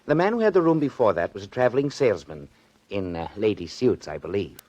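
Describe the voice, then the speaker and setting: An actor playing a stuffy, hesitant hotel desk clerk with prim, formal speech in a 1940s radio drama. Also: male voice, fairly low pitch, lively intonation, fast, mellow, highs cut off.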